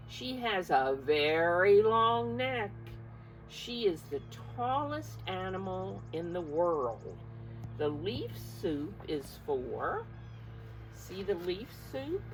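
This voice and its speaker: A woman preschool teacher reading a picture book aloud to young children, expressive and coaxing, often putting questions straight to her listeners. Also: medium pitch, low-quality recording.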